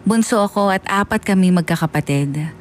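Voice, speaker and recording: A woman's voice: mid-range voice, moderate pace, natural voice. A woman voicing a grown son reflectively narrating a life story in a scripted radio drama, sentimental in manner. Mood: fond. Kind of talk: scripted first-person narration.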